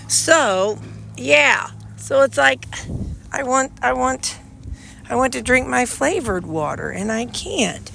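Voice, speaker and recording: Chatty woman, gravelly, outdoors. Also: fairly high pitch, a bit bright, slight background noise. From Missouri, United States. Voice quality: slightly nasal. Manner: rambling, chatty, folksy with frequent restarts; pulling weeds while talking. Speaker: vlogger. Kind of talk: casual chat to camera. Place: outdoors beside a gravel bed and sidewalk. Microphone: phone held in hand.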